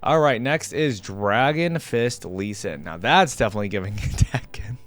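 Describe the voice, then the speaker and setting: A man's voice: fairly low pitch, lively intonation, normal speed, a bit bright. A man giving casual, informal reaction commentary, rambling along as he talks straight to his viewers. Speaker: reaction video host.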